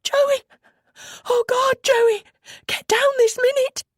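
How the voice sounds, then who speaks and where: Woman narrator doing a stressed out defensive mom, pitched way up high, urgent, audiobook drama. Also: steady tone, slow pace, no room sound, clean studio sound. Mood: panicked yelling. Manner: urgent, emphatic, breaks into yelling. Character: anxious, defensive mother, panicked and shrill under stress.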